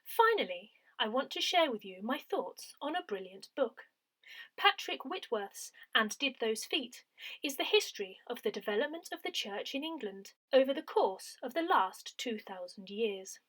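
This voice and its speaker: A woman reading a scripted parish newsletter aloud in a deliberate, clearly phrased announcing manner. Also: fairly high pitch, fairly bright tone. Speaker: newsletter reader.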